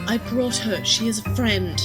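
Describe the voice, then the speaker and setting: A woman acting in an audio drama as a protective, indignant young woman who stands her ground in a husky, breathy voice. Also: on the high side, measured pace, over music, natural voice.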